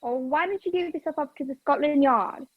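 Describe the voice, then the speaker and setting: A woman's voice: high voice, a little fast, no room sound, natural voice. A woman playing Pamela, a haughty, stubborn young woman who protests indignantly in an old-fashioned radio play, muffled over a video call. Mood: sceptical, defiant.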